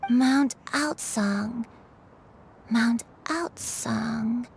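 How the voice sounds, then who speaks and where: A slow, wistful old grandmother. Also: a little high, slight background noise. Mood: puzzled, troubled. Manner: slow, hesitant, tender, halting. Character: gentle old grandmother, frail, wistful, troubled by lost memories. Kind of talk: scripted video game voice acting.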